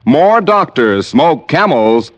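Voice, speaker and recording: A man's voice: on the high side, very animated, slow pace. An American man delivering a scripted commercial in 1940s radio style. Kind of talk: 1940s radio advertising read. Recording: dull old radio recording.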